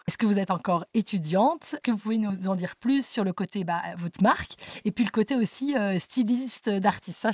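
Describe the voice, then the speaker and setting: A woman's voice: medium pitch, a little mellow, no room sound, studio quality. A woman with a Belgian French accent hosting a podcast interview, friendly and eager, often chiming in with approval. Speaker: podcast host. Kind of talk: casual interview chat.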